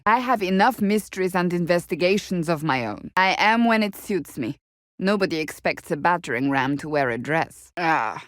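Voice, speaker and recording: A tough blunt warrior lady from a fantasy game, kinda low voice, formal n deadpan, easily annoyed, dry studio sound. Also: no echo. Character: stern, blunt, devout warrior woman in armour. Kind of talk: fantasy video game voice acting.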